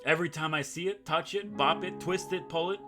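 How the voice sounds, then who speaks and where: A man's voice: a little high, brisk. A man doing let's play commentary, reading game text aloud and breaking in with sarcastic, self-mocking asides. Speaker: gaming YouTuber, host of a playthrough. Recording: guitar music behind the voice. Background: acoustic guitar game music.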